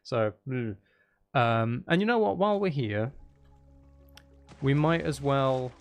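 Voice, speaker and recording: A man with a mid-pitched voice talks casually as he streams a game. He rambles along, half to himself and half to his viewers, with faint music playing behind him. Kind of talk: casual live gaming stream chat.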